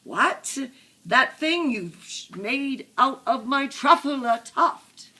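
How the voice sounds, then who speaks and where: A woman voicing a small, old, indignant male forest creature from a storybook, with a sharp, bossy, shouting delivery. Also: on the high side, expressive, takes it slowly, pitched above the performer's own voice, full character voice. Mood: outraged. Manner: shouting, demanding.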